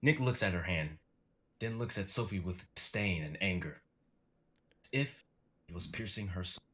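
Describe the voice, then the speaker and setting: A man's voice: low-pitched, expressive, moderate pace, a bit bright. A man reading the stage directions of a script aloud in a dramatic reading.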